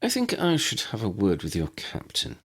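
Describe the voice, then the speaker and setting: A man voicing a courteous, distinguished older gentleman, formal, dry and unflappable, in an audiobook story. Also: lowish voice, huge pitch swings, normal speed, breathy edge, voice pushed up, exaggerated voice. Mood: dryly amused. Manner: formal, dry, self-assured.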